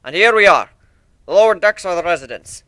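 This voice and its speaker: A man's voice: sits high, animated, a little fast, bright, forceful tone, dry recording, pitched above the performer's own voice. A man voicing a boastful, hearty minotaur airship builder in a dramatic story reading, with a brash, folksy way of talking. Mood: brisk, instructing. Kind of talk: dramatic fan fiction reading.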